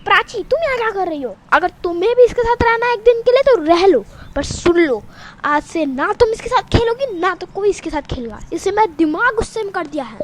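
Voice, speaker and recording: A woman voicing a strict, angry mother who shouts and scolds her daughters. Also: extremely high pitch, sing-song, fairly quick, slight background noise. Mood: scolding.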